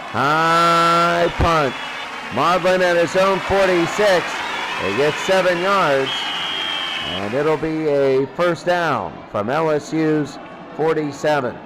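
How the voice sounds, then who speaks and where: High-pitched man calling football over heavy noise. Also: animated, slow pace, crowd noise. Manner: repeats phrases, clipped present-tense calls. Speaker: play-by-play football announcer. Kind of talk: live radio sports commentary.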